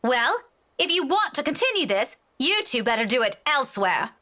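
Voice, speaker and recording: A woman's voice: high-pitched, sing-song, normal speed, sharp tone, exaggerated voice. An actress playing an authoritative female colleague in a visual novel game, with a firm, reproving manner. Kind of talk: dramatic visual novel voice acting.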